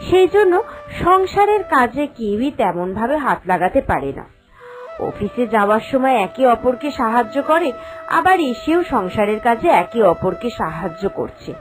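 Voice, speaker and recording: A woman's voice: a little high, sing-song, normal speed, faint music behind. A woman reading scripted storytelling narration for a cartoon.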